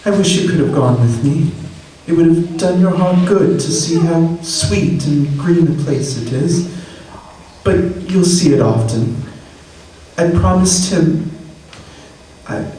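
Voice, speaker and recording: An actor playing a gentle, grieving poor clerk and father, speaking haltingly, in 1930s radio drama acting, heard on an old broadcast recording. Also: on the high side, very echoey, recorded from a distance, a little hiss or hum. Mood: sorrowful.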